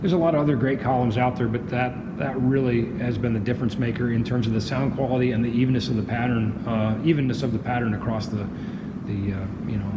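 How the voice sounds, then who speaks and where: A man's voice: medium pitch, fairly even intonation, fast. A man giving a casual product walk-through as a company representative, chatty and wry with colloquial asides. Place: small hard-walled demo room with high ceiling, indoors. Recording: echoey reverberant room with noisy ambience.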